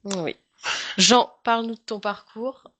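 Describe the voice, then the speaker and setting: A woman hosting an interview, passing the word to each guest with short prompts and quick acknowledgements. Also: on the high side, a bit bright, no room sound. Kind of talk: casual interview chat.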